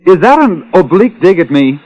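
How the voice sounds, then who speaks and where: A man's voice: high voice, sing-song, brisk, darkish tone, lo-fi sound. An actor playing a defensive young male tennis player in an old-time radio detective drama. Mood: defensive, indignant. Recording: echoey, reverberant old radio recording.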